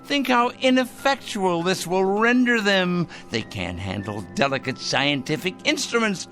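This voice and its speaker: An actor playing a paranoid, self-righteous crank in a radio drama, ranting in a fussy, theatrical way. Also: male voice, sits high, big pitch swings, a little slow, sharp tone, soft background music, exaggerated voice. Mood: gleeful scheming. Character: paranoid, self-righteous crank obsessed with punishing evil people. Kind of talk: dramatic radio play acting.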